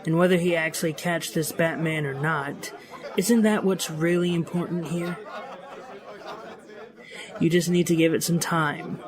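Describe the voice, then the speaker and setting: Man acting high-voiced, smug corrupt police chief. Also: fairly even intonation, measured pace, pauses often, a crowd in the background, close to an everyday voice. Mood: scheming, confident. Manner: slick, dismissive, self-assured. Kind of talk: scripted audio drama dialogue.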